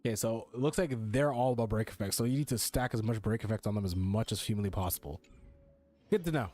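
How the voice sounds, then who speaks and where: A man's voice: fairly low pitch, quick pace, darkish tone. A young adult man giving casual, slangy, sweary gameplay commentary with game music behind him. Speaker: game streamer.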